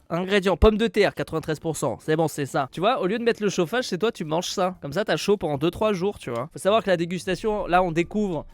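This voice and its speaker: A man streaming live, chatting casually as he unboxes a package, with loud exclamations and casual swearing. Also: high voice, dry recording. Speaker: streamer opening a viewer's package.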